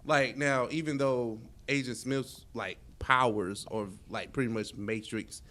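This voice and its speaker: A man's voice: mid-range voice, a little slow, fairly bright tone. A man co-hosting a podcast, talking in a slangy, informal, conversational way. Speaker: podcast co-host. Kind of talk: casual chat about music, films and sports.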